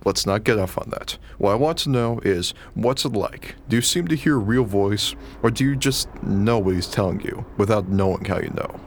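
An actor playing an anxious, analytical, argumentative husband with an earnest, questioning manner in a radio play. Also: male voice, fairly low pitch, moderate pace, hardly any pauses, a little hiss or hum, natural voice. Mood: agitated.

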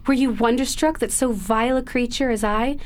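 Woman playing a high-voiced, earnest young daughter. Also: steady tone, a little fast, lightly acted. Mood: earnest, wounded. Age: young adult. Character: earnest, desperate young daughter, emotional and pleading. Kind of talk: melodramatic audio drama acting.

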